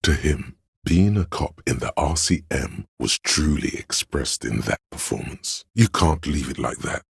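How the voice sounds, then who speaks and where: A man voicing a detective's pretentious, arty inner voice for a video game, theatrical and deliberate, with a gravelly, husky tone. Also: rumbling low, expressive, no room sound, clean studio sound, heavily acted. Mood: musing, wry. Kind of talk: video game narration, second-person commentary.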